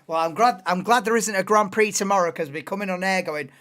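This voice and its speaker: A woman hosting a live race commentary stream, excitable and bursting into shouts and laughs, her voice going hoarse. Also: mid-range voice, fast. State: losing voice, hoarse.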